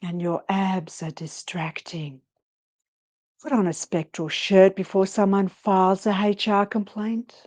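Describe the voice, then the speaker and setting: Unflappable female psychic investigator, read by a woman, wry and flirty, unhurried, paranormal romance drama. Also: mid-range voice, little pitch movement, a little mellow, natural voice. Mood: dry, flirty. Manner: sassy, wry, deliberate delivery.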